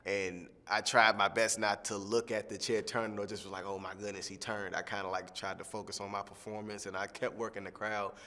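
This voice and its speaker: A young man, a singing contestant, answering interview questions in a relaxed, rambling way with lots of fillers. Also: on the low side, fairly quick, few pauses, big-room sound. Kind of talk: press junket soundbite interview.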